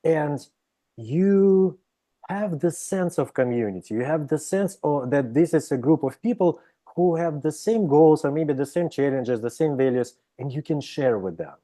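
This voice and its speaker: A man from Russia with a Russian accent, speaking as a psychologist guest in an interview, explaining with many pauses, restarts and "right?" checks. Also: on the high side, animated, dark tone. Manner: hesitant, frequent "right?" tags and restarts. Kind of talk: interview conversation.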